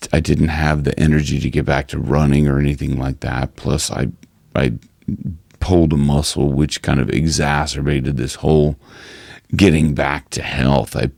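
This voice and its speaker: A man with a hoarse voice, talking casually and rambling through a personal health update in his vlog. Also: extremely low pitch, a little mellow, no room sound, clean studio sound. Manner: rambling, many restarts and fillers. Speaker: singing coach and vlogger.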